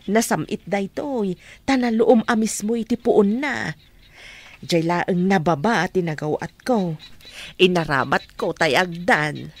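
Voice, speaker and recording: A woman acting a kindly middle-aged mother in a radio drama, speaking in a gentle, homely way. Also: fairly low pitch, unhurried. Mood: affectionate. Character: kindly, devoted mother visiting her married daughter.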